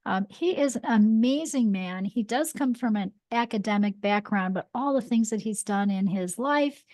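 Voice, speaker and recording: A woman's voice: fairly high pitch, normal speed, darkish tone, no echo. A woman hosting an interview show, introducing her guest with enthusiasm and keeping him going with encouraging affirmations. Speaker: podcast host. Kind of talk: interview host introduction and chat. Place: Albuquerque area.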